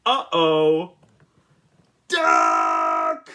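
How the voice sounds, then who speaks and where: A man's voice: fairly high pitch, huge pitch swings, very slow, raised voice, noisy background, pitched above the performer's own voice, exaggerated voice. Man putting on a bossy, exasperated farmer. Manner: emphatic, demanding. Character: bossy farmer phoning home, suspicious and exasperated.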